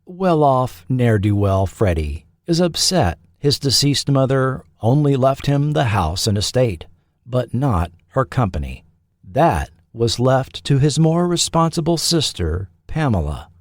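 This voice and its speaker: Slow, measured male documentary narrator. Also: mid-range voice, big pitch swings, no echo, clean studio sound. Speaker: podcast host and narrator.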